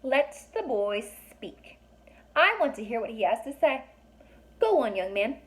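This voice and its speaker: A woman voicing a gracious but authoritative fairy leader in a storybook, calm and commanding. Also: on the high side, unhurried.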